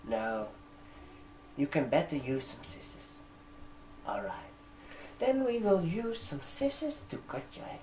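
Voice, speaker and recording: Slow-talking young man doing ASMR, non-native English. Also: a little high, wildly swooping pitch, a little hiss or hum, rough recording. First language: not English. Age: 20s. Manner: gentle, halting, drawn-out phrasing with check-in questions. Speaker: ASMR performer playing a barber shop receptionist. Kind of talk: ASMR roleplay, soft customer-service talk. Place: indoor room, seated at a desk with a laptop. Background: computer keyboard typing.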